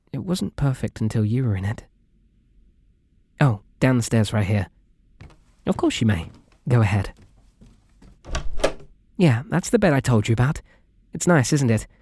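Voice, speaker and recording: A man acts out a lovestruck young British kidnapper in an ASMR roleplay. His voice is mellow and relaxed at a medium male pitch, recorded close and very dry. He rambles with earnest, timid politeness, sweet on the surface and possessive underneath. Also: no echo. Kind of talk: intimate ASMR roleplay acting addressed to the listener.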